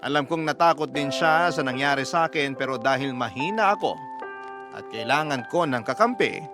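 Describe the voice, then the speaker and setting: Filipino guy reading a heartfelt drama story on radio, a bit high voice, music playing behind him. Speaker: radio host narrator reading a listener's story. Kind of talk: scripted dramatic first-person narration.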